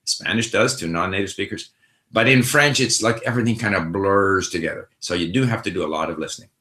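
A man's voice: low-pitched, fairly quick, sharp tone. A middle-aged man hosting a casual language-learning question session, talking in a thoughtful, conversational way with frequent fillers and restarts. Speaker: language-learning host answering viewer questions. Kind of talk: casual live Q&A. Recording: roomy echo.

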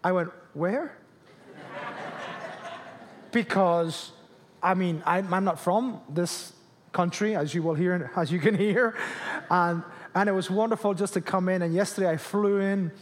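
Lively preacher in a hall. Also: male voice, high voice, measured pace, long pauses. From Spain, Canary Islands. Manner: animated, jokey, talks to the crowd. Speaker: guest pastor. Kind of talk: sermon with humor. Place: church hall with audience. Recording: long reverb of a large hall.

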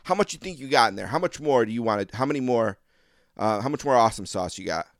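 Fast chatty host guy, smooth clear voice, long rambling questions. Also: mid-range voice, animated, no echo, clean studio sound. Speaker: podcast host. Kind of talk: casual interview chat.

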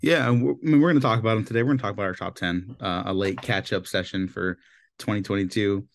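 A man hosting a podcast in casual chat, his voice a little raspy, rambling with lots of filler words. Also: on the low side, fast. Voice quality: slightly raspy voice. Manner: chatty, loose, with many filler "like"s. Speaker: podcast host.

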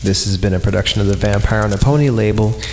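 A man hosting a horror podcast, reading creepy stories aloud in an irreverent, halting way and stumbling over the text. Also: on the low side, a little mellow, slight background noise. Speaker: podcast host and announcer. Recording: long reverb on voice, music underneath. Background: eerie horror film score.

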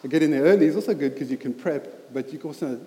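A man in his thirties with a South African accent, preaching a sermon with a personal story in a halting, earnest way with many fillers. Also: on the high side, dark tone. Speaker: lay preacher. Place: church hall. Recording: echoey hall with long reverb.

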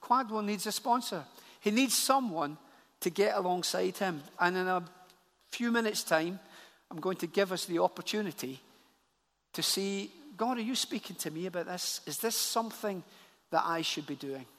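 A man's voice: sits high, moderate pace, a bit bright. A man from Glasgow, a church leader making an earnest appeal to the congregation during a service. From Glasgow, Scotland. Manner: earnest, repeats phrases for emphasis. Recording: church hall reverb.

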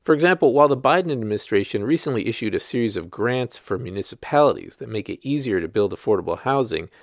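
A man's voice: mid-range voice, animated, quick pace, dry recording, studio quality. A man working as a journalist, reading his written article aloud as scripted narration.

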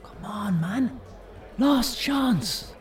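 Bit distant, cheeky inner voice, high and slow, mouthy goading stuff. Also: male voice, lively intonation, faint music behind, exaggerated voice. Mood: urging. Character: mischievous, mouthy inner voice of a nervous man. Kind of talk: audio drama acting.

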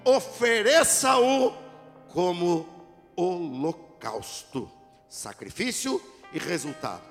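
A middle-aged pastor with a notably high voice, preaching in a dramatic way that climbs into shouts and then drops back to teasing asides to his congregation. He is recorded in a church sanctuary with a long, echoing reverb, and soft music plays faintly behind him. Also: sing-song, slow. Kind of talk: sermon. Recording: echoey church hall with music.

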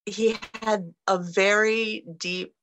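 A middle-aged woman from central New York, a guest on a video call, telling a family story in an earnest, measured way. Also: medium pitch, fairly even intonation, a bit bright, a little hiss or hum. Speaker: guest, author. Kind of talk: interview answers.

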